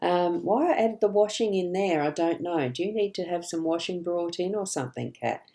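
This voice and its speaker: Low gravelly woman chatting on a live stream. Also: moderate pace, warm tone. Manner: chatty, greets viewers by name, frequent ums and restarts. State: rough voice this morning. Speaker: psychic card reader hosting a live stream. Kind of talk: casual live-stream chat.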